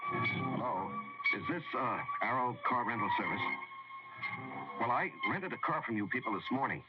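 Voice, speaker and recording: A man's voice: medium pitch, wildly swooping pitch, normal speed, loud music behind the voice. A man acting an anxious, scheming businessman with a guilty secret in an old-time radio mystery. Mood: nervous, evasive. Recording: dull noisy old radio drama recording.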